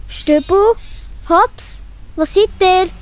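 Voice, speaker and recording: Woman as a high-voiced, plucky little girl, Swiss-accented. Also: extremely slow, exaggerated voice. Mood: puzzled, anxious. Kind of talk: children's audio play acting.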